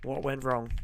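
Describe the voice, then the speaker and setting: A man who is a bit sick and slightly hoarse, giving blunt, emphatic trading-psychology advice and clearing his throat often. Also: medium pitch, pushed and bright. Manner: direct, emphatic, repeats key points. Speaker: forex trader giving advice. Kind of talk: motivational trading-psychology talk to camera.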